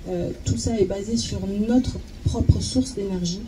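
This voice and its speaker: A woman working as a consecutive interpreter at a talk, halting and searching for words as she translates. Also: mid-range voice, background noise.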